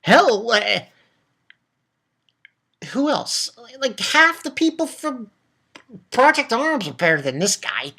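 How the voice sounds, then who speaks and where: Casual vlogger voice for a manga rant: medium pitch, blunt, foul-mouthed, mocking, animated. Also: slow pace, long pauses.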